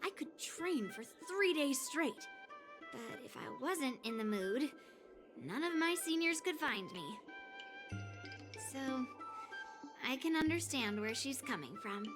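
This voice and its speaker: A woman's voice: high voice, expressive, slow, long pauses. A woman voicing a confident, blunt young swordswoman in a video game, speaking in a self-assured, matter-of-fact way. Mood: breezy confidence. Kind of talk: video game character dialogue. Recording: game music behind the voice. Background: game music.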